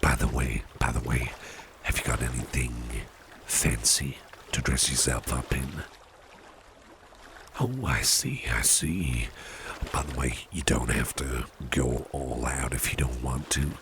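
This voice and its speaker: A man voicing a suave, attentive gentleman boss in a gravelly, half-whispered ASMR roleplay, speaking in a reassuring, coaxing way. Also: very deep voice, unhurried, background noise. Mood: courteous, coaxing.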